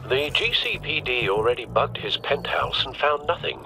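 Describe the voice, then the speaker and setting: A man's voice: mid-range voice, animated, moderate pace, sharp tone, faint music behind, poor audio. A male actor playing a shrewd older butler and confidant in a video game, speaking in a dry, formal manner. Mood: dry briefing. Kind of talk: dramatic video game acting.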